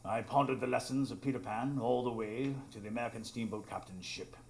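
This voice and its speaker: A man playing an earnest gentleman narrator, telling the story in a literary manner in a live radio drama. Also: medium pitch, steady tone, fairly quick, vocal fry, lightly acted. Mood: reflective, dramatic. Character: earnest gentlemanly male doctor-narrator recounting adventures. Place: small improv theatre stage. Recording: roomy, noisy live stage recording.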